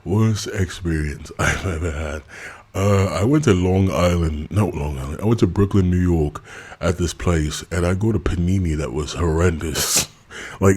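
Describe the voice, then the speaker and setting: Male channel host, deep husky voice, candid and blunt in a relaxed Q&A. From England. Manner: candid and blunt, laughs often. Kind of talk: casual subscriber Q&A.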